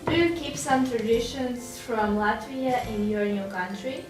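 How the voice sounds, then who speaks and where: A woman's voice: a little high, a little slow. A woman host who formally reads out her interview questions. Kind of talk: scripted studio interview questions. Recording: echoey room, distant mic, faint music.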